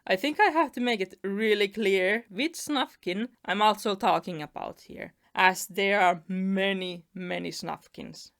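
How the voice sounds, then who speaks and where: A woman's voice: mid-range voice, unhurried, hardly any pauses, a bit bright. A young woman from Finland with a Finnish accent, chatting through a storytime narration with little laughs between phrases. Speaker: animation YouTuber. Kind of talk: storytime narration over a speedpaint.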